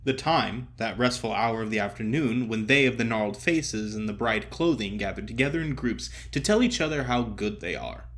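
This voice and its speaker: A man narrating a classic story as a one-man audio drama, with theatrical, deliberate phrasing. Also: fairly low pitch, expressive, fairly bright tone.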